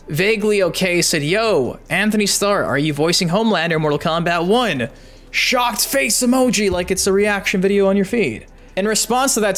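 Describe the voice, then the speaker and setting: Higher-pitched man hosting gaming news. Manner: emphatic, chatty, addresses viewers directly. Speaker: YouTube gaming news host. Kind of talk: energetic video commentary, reading articles aloud.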